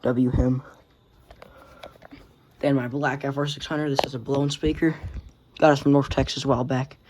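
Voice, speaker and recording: A man talks through a collection in an offhand, rambling way. His voice sits at an average pitch, and the casual recording has clear background noise and clicks. He slips in mild swearing as he goes. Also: little pitch movement, moderate pace. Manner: offhand, rambling, mildly profane. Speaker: radio collector showing collection. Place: indoors among working radios.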